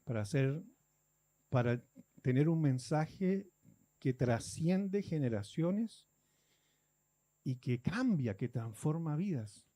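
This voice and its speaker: High-voiced preacher. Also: male voice, lively intonation, takes it slowly, long pauses, warm tone. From Guatemala. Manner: conversational, acts out churchgoers' complaints. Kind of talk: sermon.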